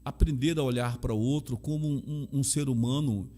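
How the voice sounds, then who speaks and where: A man's voice: mid-range voice, fairly quick, warm tone. A man from Salvador, Bahia, with a Bahian accent, speaking earnestly as a chaplain and repeating key phrases for emphasis. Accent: Bahian Brazilian Portuguese. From Salvador, Bahia, Brazil. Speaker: chaplain and guest. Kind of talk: earnest conversational testimony.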